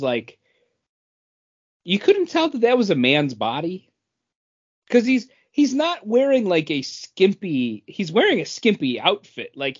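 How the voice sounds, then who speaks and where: A woman's voice: low voice, lo-fi sound. A woman chatting casually as a podcast co-host. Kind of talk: casual chat.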